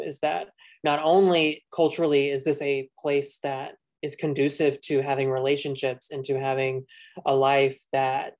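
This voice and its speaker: A man on a panel who speaks thoughtfully and deliberately. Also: fairly high pitch. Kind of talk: panel discussion.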